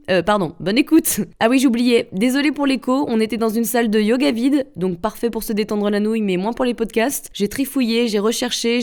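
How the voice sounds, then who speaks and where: A woman's voice: on the high side, darkish tone. A woman hosting a podcast, reading an upbeat intro and then interviewing a guest in a casual, chatty way. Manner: upbeat, chatty, lightly self-mocking. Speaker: podcast host. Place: empty yoga room with echo.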